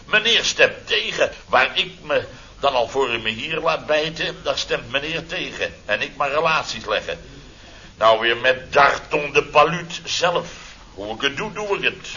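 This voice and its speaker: An actor playing a blustering, pompous company boss in a radio comedy, speaking theatrically and indignantly with drawn-out emphasis. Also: male voice, medium pitch, animated, pushed and bright. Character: blustering, pompous male boss of a building firm. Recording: dull old radio recording, faint noise.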